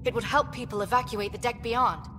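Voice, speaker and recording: Sci-fi game engineer, female actor: higher register, earnest, urgent, slightly hesitant, reverberant. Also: fairly quick, background noise, lightly acted. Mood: earnest, practical. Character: earnest, devout female alien starship engineer. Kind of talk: video game voice acting.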